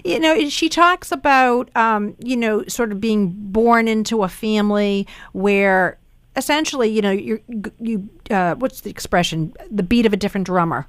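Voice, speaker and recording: A woman's voice: mid-range voice, normal speed, no echo. A woman hosting a radio interview, musing aloud with many fillers and questions. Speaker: radio host.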